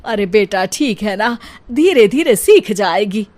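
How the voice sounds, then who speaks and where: A woman's voice: mid-range voice, lively intonation, slow, a little mellow. A woman voicing a vain, fussy middle-aged mother-in-law in a cartoon story, coaxing and scolding. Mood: defensive, scolding.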